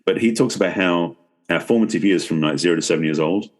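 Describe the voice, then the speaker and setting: A middle-aged man talks as an expert guest on a podcast. His voice is very low and noticeably husky, and he speaks fast. He explains in a conversational way, rephrasing as he goes.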